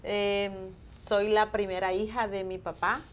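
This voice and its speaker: A woman's voice: medium pitch, level tone, a little slow. A woman from Honduras, a church elder, giving an earnest, devout testimony in a TV studio interview. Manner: earnest, devout, answers with "amén".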